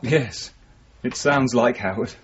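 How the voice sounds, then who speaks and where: An actor playing a measured, questioning male police detective sergeant in a 1980s radio drama. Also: medium pitch, a little hiss or hum, lightly acted. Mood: inquiring, dry. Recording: dull, muffled radio broadcast recording.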